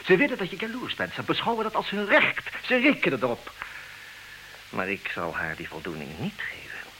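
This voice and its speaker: An actor playing a smug, calculating jealous husband in a comic radio play, with a theatrical, declaiming manner. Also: male voice, fairly high pitch, sing-song, a little fast, pushed and bright, rough recording. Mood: lecturing, self-satisfied. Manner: theatrical, self-satisfied, declaiming. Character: smug, calculating jealous husband, self-styled expert on women. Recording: hissy old radio play recording.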